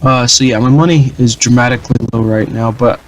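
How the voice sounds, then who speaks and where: Young guy doing gameplay commentary at his desk, flat dull voice, lots of ums and restarts, ordinary mid pitch. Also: brisk, noisy background. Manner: rambling, many fillers and restarts. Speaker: gaming channel host.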